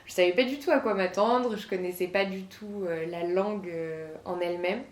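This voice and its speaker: A woman's voice: on the low side, normal speed, echoey room. A woman in her twenties from southern France, answering interview questions as a guest with many hesitations. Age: 20s.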